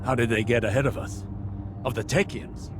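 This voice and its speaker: A man playing a wise, composed older mentor in a video game, speaking in a measured, philosophical way. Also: medium pitch, steady tone, background noise, close to an everyday voice. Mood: wry, commanding. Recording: loud music underneath.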